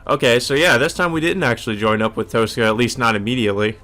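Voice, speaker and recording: A man's voice: medium pitch, quick pace, sharp tone, faint music behind. A man giving casual, rambling commentary as he plays through a visual novel. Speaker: let's player reading a visual novel aloud with commentary. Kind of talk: casual game commentary mixed with reading aloud.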